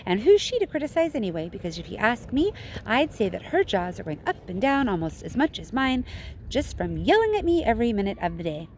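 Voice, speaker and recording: Storybook role: brash young girl, played by a woman, chatty and self-satisfied, pitch leaping about excitedly. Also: brisk, slight background noise. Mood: boastful, defiant. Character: brash, boastful gum-chewing young girl. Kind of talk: children's storybook read-aloud.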